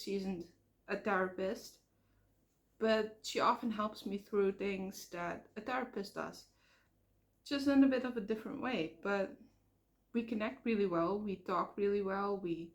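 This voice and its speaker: A woman with a Dutch accent talks straight to her viewers in a loose, personal vlog. Her voice sits at an everyday middle pitch. She speaks earnestly and rambles a little, filling gaps with "um" and catching her own slips. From Netherlands. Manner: earnest, rambling with fillers and self-corrections. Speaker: vlogger. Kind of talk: casual personal vlog talk.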